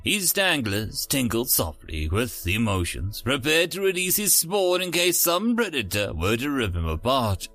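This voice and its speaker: Bright, theatrical male storyteller. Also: mid-range voice, huge pitch swings, measured pace, few pauses, faint music behind. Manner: theatrical, dramatic announcer delivery. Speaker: narrator and channel host. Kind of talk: scripted story narration with a showy intro.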